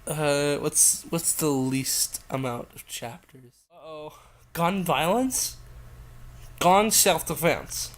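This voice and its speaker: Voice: medium pitch, slow. A host casually reading fan fiction aloud and rambling off into irreverent, giggly side comments. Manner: giggly, irreverent, easily sidetracked.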